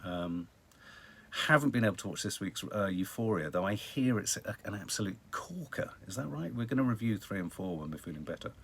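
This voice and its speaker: A man's voice: lowish voice, animated, fairly quick, a bit bright, husky. A British man hosting a casual live stream, chatting about the news in a rambling, wry way with dramatic emphasis. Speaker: online live-stream host. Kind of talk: casual news chat to viewers.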